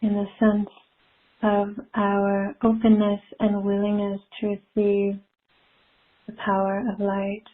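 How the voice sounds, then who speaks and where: A woman leading a spiritual guided meditation in a soothing, gentle manner with long pauses, recorded from a live call. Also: mid-range voice, completely flat delivery. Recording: muffled phone-like live call audio.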